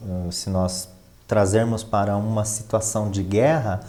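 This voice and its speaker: Mellow, low-voiced man in interview. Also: steady tone, measured pace. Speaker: finance professional and professor, interview guest. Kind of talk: conversational interview answers.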